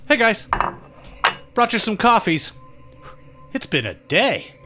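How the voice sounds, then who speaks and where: A man's voice: high voice, very animated, slow pace, noisy background, full character voice. Laid-back drifter guy, lilting delivery. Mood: breezy, offhand. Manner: offhand, casual, wryly condescending. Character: laid-back, cryptic cosmic drifter doing odd jobs. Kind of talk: audio fiction dialogue.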